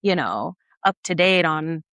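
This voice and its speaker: American woman host, lowish dry-sounding voice. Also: lively intonation, unhurried, fairly bright tone, dry recording. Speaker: interview host. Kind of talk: casual conversational interview.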